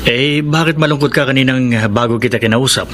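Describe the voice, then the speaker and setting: Man acting fast-talking, supportive Filipino teacher. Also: mid-range voice, lightly acted. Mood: concerned, reassuring. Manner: reassuring. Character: supportive, reassuring male teacher colleague. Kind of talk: Filipino radio drama acting. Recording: noisy ambience behind voice.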